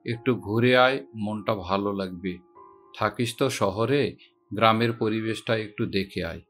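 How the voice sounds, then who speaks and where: A man voicing a kindly, frail old village grandfather who talks slowly and fondly, heard from a distance over faint music. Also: lowish voice, pitched below the performer's own voice. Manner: slow, fond, scolding gently.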